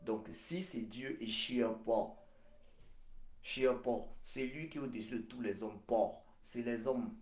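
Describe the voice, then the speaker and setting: Slow, emphatic man, roomy echo. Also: medium pitch, a little mellow. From Cameroon. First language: Ghomala' (Baham). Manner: emphatic, deliberate, repeats phrases with frequent hesitations. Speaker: activist leader. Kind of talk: address to supporters.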